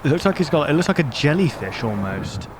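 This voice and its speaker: A man's voice: a little high, very animated, brisk, noisy background. A man hosting a reaction video in a casual, rambling, speculative way.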